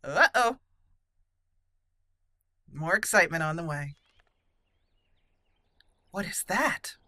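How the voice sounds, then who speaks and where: Female let's play host, chatty and informal, forward bright tone, thinks out loud while playing. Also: fairly low pitch, lively intonation, slow pace, no room sound, studio quality. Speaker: gaming channel host. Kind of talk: casual let's play commentary.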